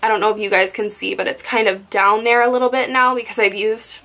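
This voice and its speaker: A young woman vlogging about her makeup favorites in a chatty, candid way, a little croaky from being sick. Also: medium pitch, level tone, brisk, fairly bright tone. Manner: chatty and candid, rambling asides. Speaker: beauty vlogger. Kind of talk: casual makeup favorites chat to camera.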